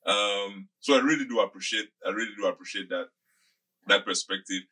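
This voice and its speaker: Bright, forceful low-voiced male podcast host. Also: a little fast. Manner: wordy, repeats phrases as he thinks aloud. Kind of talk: conversational interviewing. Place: small indoor podcast studio. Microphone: desk microphone close up, with headphones.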